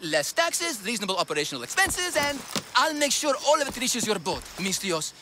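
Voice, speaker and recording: A woman voicing a miserly, smooth-talking prize keeper in a video game. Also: on the high side, big pitch swings, fairly quick, noisy background. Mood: sly, official. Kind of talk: video game cutscene acting.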